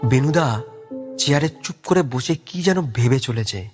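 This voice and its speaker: A man's voice: medium pitch, expressive, measured pace, over music. A man narrating a scripted audio story in a dramatic storytelling style.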